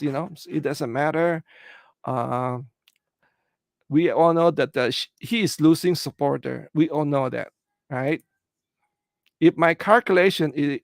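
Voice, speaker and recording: A middle-aged man from the Philippines with a Filipino English accent, hosting a casual livestream and answering viewer comments in a halting, earnest way, full of "uh" and "you know.". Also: medium pitch, vocal fry, no room sound. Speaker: livestream host.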